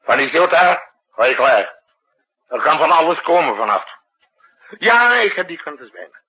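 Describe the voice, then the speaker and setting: An actor playing a commanding, harried state leader with a brisk, imperious manner in a 1960s radio drama. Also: male voice, fairly high pitch, big pitch swings, husky, exaggerated voice. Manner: brisk, imperious, muttering to himself between orders. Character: commanding, harried male state leader issuing urgent emergency orders. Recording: dull, muffled 1960s radio recording.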